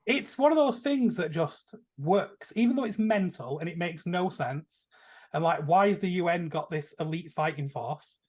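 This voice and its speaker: A man's voice: on the high side, lively intonation, moderate pace, slightly rough. A man chatting casually and enthusiastically about films as a podcast panelist, rambling a little as he goes. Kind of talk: casual film discussion.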